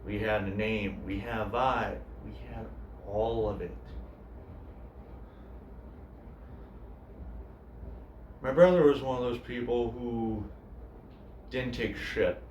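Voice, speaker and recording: A man playing a grieving cook in a dramatic monologue, speaking quietly and hesitantly, as if confessing. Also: on the low side, unhurried, far from the mic, close to an everyday voice. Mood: wistful, quiet. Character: grieving male cook, subdued, haunted by his brother. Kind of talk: dramatic monologue, naturalistic screen-style acting. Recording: echoey room, faint noise.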